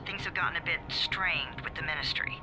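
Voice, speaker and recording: A man's voice: on the high side, brisk, music in the background, close to an everyday voice, poor audio. An actor voicing a cold, condescending male handler in a video game, formal and sardonic. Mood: coldly dismissive.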